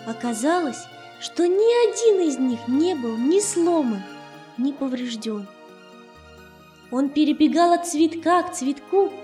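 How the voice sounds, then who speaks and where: A woman narrating a fairy tale for children in a measured, expressive storytelling manner. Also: very high-pitched. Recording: roomy echo, faint music underneath. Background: faint music.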